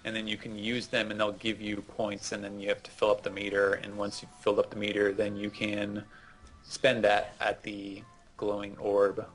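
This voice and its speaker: A man's voice: lowish voice, completely flat delivery, normal speed, soft background music, rough recording. A man giving casual, rambling explanations as a co-host commenting on gameplay. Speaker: gaming show co-host.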